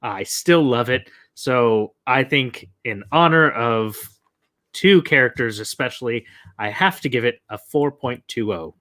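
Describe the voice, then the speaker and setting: A man's voice: mid-range voice, lively intonation, a little slow, sharp tone, no echo. A man co-hosting a podcast, giving his opinions on films in casual conversation. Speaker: podcast co-host. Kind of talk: casual film review chat.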